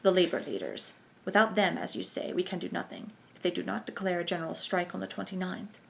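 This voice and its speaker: A woman reading a clear-voiced, brisk German plotter. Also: high-pitched, little pitch movement, fairly bright tone, heavily acted, poor audio. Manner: brisk and businesslike. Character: confident German man, conspiracy organizer.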